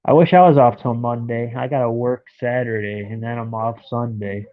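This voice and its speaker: A man's voice: medium pitch, steady tone, moderate pace, no echo. A stuffed-up man in his thirties rambles casually to his live stream viewers from bed. His voice comes through a thin, phone-like line. The sound is dry and close, with no room around it. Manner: rambling, chatty, talks to viewers by name. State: stuffed-up nose. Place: in bed at home.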